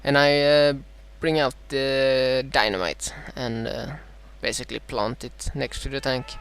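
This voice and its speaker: A man's voice: medium pitch, steady tone, fairly bright tone, slight background noise. Swedish-accented man with a dry throat. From Sweden. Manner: halting, frequent "uh" fillers and self-corrections. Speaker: gameplay commentator. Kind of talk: casting his own strategy game match.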